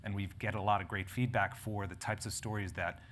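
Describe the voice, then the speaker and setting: Low-voiced man moderating a live panel. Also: level tone, a little fast, a bit bright, a little hiss or hum. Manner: rambling, self-correcting, frequent fillers. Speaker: panel moderator and editor of a health publication. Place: conference event in front of an audience.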